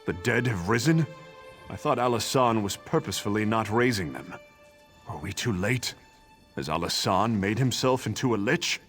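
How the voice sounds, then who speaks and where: A man's voice: fairly low pitch, expressive, a bit bright. A man acting an earnest, noble leader in a video game, speaking formally and dramatically. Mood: alarmed, questioning. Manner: formal, dramatic, deliberate phrasing. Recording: game music behind the voice.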